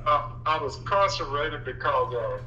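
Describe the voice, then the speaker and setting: A man answering questions on a phone call, giving plain, compliant and halting answers. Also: on the high side, expressive, pushed and bright. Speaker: prank call recipient. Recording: dull distant phone line, faint noise.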